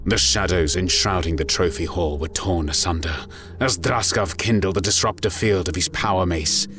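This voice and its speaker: A man with a very low voice delivers dramatic story narration, grave and deliberate. Prominent music plays behind him throughout.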